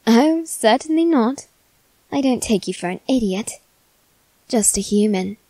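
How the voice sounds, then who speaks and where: A woman's voice: on the high side, animated, measured pace, mellow, dry recording. A woman playing a teasing, haughty young male demon servant in a theatrical, mock-polite ASMR roleplay. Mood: teasing, smug. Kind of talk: ASMR character roleplay addressed to the listener.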